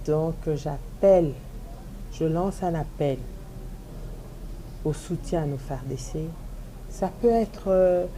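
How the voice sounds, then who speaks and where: A woman politician from DR Congo speaks, low in pitch and unhurried. She makes an emphatic rallying appeal, driving her point home by repeating key phrases. A steady noise sits behind her voice. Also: rough recording. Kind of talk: televised interview statement.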